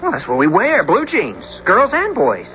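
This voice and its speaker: Teenage country boy, lively swooping pitch, folksy phrasing, bold, radio drama, muffled, noisy recording. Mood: pleading. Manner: folksy drawn-out rural phrasing. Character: excitable, bold teenage farm-country boy, protective brother. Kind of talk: 1970s radio drama acting and narration.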